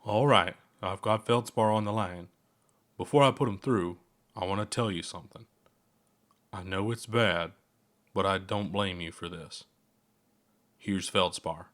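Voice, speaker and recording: A kindly elderly scientist, voiced by a man in a science fiction audiobook. The voice is fairly low and the talk is measured and explanatory. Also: a bit bright. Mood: gentle, grave.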